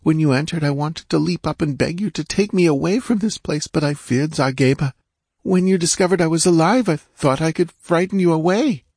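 A man's voice: a little high, fairly quick, a little mellow, husky, no room sound, voice pushed up. A terrified young dancing girl pleads in a thin, tearful voice, words quivering on the edge of sobs, as a man reads her part. The sound is close and dry, with no room around it. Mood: frightened.

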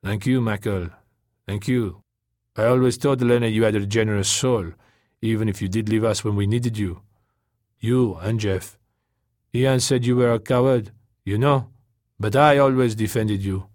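Soft, ingratiating male story character, done by a male narrator; patient, coaxing, a little wistful, very dry sound. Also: on the low side, normal speed, long pauses, no room sound, close to an everyday voice. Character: soft, patient, ingratiating man, easygoing petty thief.

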